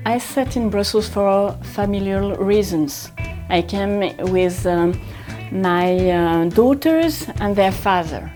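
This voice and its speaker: French-accented female interviewee, very unhurried, soft dark tone, light music behind. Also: mid-range voice. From Grenoble, France. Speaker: interviewee, pharmacist and writer. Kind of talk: first-person interview.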